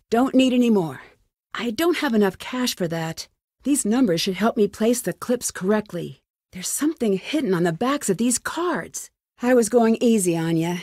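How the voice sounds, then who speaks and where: Casting: plucky young female sleuth, video game lead, mid-range voice, quick-witted self-talk, very dry studio sound. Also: medium pitch, a little mellow, dry recording. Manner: clearly enunciated, thinking aloud. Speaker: game protagonist.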